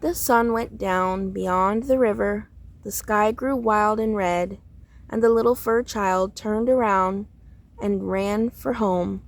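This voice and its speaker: Slow-reading woman with a creaky voice. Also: medium pitch, fairly even intonation. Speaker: storybook reader. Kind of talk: read-aloud children's story narration.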